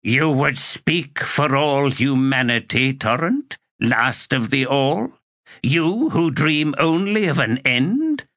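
A man voicing Olar Ethil, an ancient female sorceress with a raspy, contemptuous voice, in an audiobook reading. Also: medium pitch, animated, slow pace, a bit bright, no room sound, clean studio sound, pitched above the performer's own voice.

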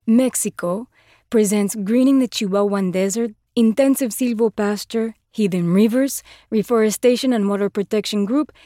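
A woman representative calmly and carefully reading out projects in a scripted roll call. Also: mid-range voice, normal speed, no room sound, clean studio sound.

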